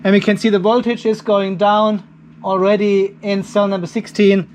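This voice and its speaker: A middle-aged man with a notably high voice talks through a practical job in a garage workshop. He is informal and chatty, thinking aloud as he demonstrates. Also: level tone, normal speed, darkish tone, slight background noise. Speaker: host of a DIY off-grid battery channel. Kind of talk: casual hands-on demonstration talk.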